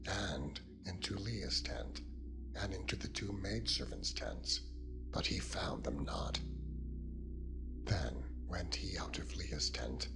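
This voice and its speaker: A man giving a solemn, measured, scripted narration of scripture. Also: low voice, vocal fry. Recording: music bed behind the voice.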